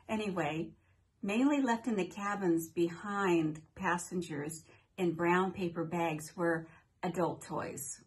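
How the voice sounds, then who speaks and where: An older woman hosting a home vlog, telling stories in a friendly way with playful asides, recorded at desk distance in a small room. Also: on the low side. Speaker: cruise vlogger host. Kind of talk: chatty countdown vlog.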